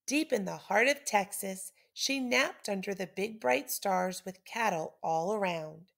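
A middle-aged woman reads a children's story aloud like a teacher, with deliberate, dramatic emphasis. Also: mid-range voice, creaky, fairly bright tone.

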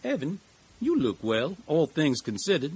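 A man's voice: a little high, sing-song, moderate pace, a little mellow, slightly rough, exaggerated voice, low-quality recording. A man playing a genial, eccentric middle-aged small-town lawyer in an audio drama, with a folksy, rambling storyteller's manner. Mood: genial, coaxing.

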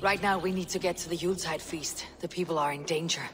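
A woman playing a stern, determined Viking warrior in a video game, blunt and commanding in a measured delivery. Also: fairly low pitch, steady tone, a bit bright, slight background noise, natural voice. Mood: resolute. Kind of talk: dramatic video game cutscene acting.

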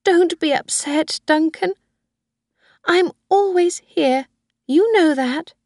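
A woman voicing a frail, obsessive old grandmother who talks in a shrill, pleading way, read as an audiobook. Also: slow, darkish tone, no room sound, studio quality, full character voice. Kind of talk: audiobook reading.

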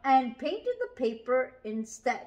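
A woman reads a picture book aloud for young children. Her voice is high and very animated in pitch, at a slow, deliberate pace. She is expressive and dramatic, prompting with questions. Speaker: children's storyteller with a puppet. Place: small furnished indoor room.

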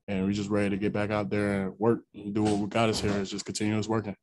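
A young man with a lowish, even voice gives brief, relaxed answers over a video call. Faint noise sits behind him. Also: quick pace. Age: young adult. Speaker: college football defensive lineman.

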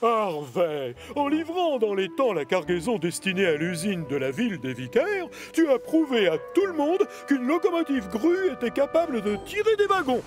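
An actor playing a pompous, approving railway controller in a cartoon, speaking formally. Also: male voice, very high-pitched, sing-song, normal speed, few pauses, faint music behind.

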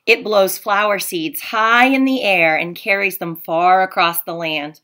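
A woman's voice: mid-range voice, a little slow. A woman in her thirties, a teacher, reading a picture book aloud expressively for young children at home, recorded on a laptop webcam. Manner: gentle, expressive storybook reading. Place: home room, indoors.

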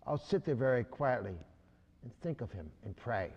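A man's voice: medium pitch, expressive, normal speed, vocal fry, darkish tone, slightly rough, long reverb tail, lo-fi sound, voice pushed down. A man voicing a grieving woman disciple in a rhyming storybook read aloud. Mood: sorrowful. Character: grieving then joyful woman disciple, Mary.

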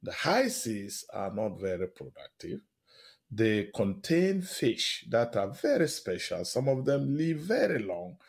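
Animated, husky-voiced man explaining with enthusiasm. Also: medium pitch, unhurried. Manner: animated, emphatic, with short rhetorical tag questions. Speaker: professor, interview guest. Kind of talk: enthusiastic explanatory radio interview.

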